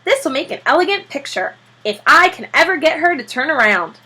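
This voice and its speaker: A brash young storybook newspaperman, put on by a woman reader. He is excited and nosy, with a high, bright voice that leaps around. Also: fairly quick, exaggerated voice. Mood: inquisitive. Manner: excited, pushy, inquisitive.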